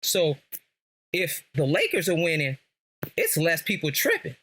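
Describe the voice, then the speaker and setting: A man's voice: fairly high pitch, huge pitch swings, unhurried, sharp tone. A man from Los Angeles with an American accent, talking casually as a sports fan guest on a podcast, telling stories in slang and repeating phrases for emphasis. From Los Angeles, Southern California. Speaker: guest, lifelong Lakers fan.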